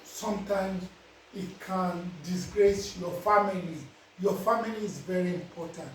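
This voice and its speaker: A man's voice: high-pitched, fairly even intonation, a little slow, distant mic, slight background noise. A man with a Nigerian accent, giving casual life advice to his viewers and often asking if they understand. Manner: preachy, repeats "you understand?". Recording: very echoey, voice away from the mic.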